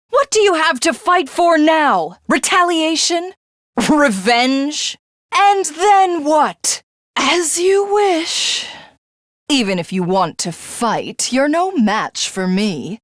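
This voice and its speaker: Grandiose villainess, sweeping pitch. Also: female voice, dry recording, clean studio sound. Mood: mocking menace. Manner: grandiose, haughty, savouring each phrase. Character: gloating, megalomaniac female villain with godlike power. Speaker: video game voice actress. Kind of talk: theatrical video game villain acting.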